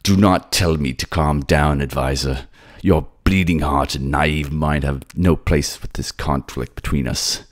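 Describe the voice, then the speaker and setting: A man's voice: a bass voice, fairly even intonation, unhurried, few pauses. A man voicing a violent, jealous captive king in an audio drama, snarling threats and commands. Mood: furious, defiant.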